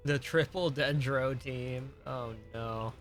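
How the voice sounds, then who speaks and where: A man gives offhand live commentary while streaming a game. His voice is medium in pitch, and game music plays clearly behind it. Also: fairly even intonation, slow.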